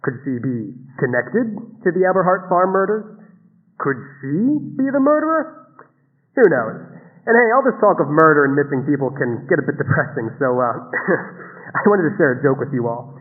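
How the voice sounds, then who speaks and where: Radio play: chatty golden-boy DJ, showy, higher-pitched, narrow tinny radio sound. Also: big pitch swings, normal speed, in a large hall. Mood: chirpy, chatty. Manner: chatty, hammy showmanship. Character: smooth-talking male radio host, chirpy small-town golden boy. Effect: radio filter.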